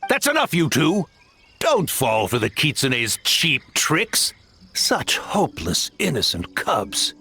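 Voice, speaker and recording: An actor voicing a proud, grumpy old tanuki spirit in a video game, pompous and easily flustered. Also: male voice, fairly high pitch, huge pitch swings, slow pace, bright, forceful tone, slight background noise, exaggerated voice. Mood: blustering, proud. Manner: pompous, blustering. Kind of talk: animated video game voice acting.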